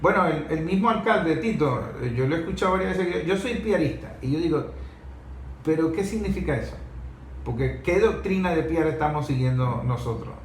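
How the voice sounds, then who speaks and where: Male discussion voice, a bit high, argumentative with rhetorical questions, recorded in an echoey room. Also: expressive, normal speed. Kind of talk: conversational political-historical debate. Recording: echoey room with faint noise.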